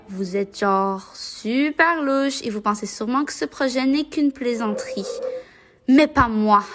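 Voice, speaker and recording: A woman voicing an optimistic, determined young demon princess, earnest and assertive, in an amateur cartoon fan dub. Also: fairly high pitch.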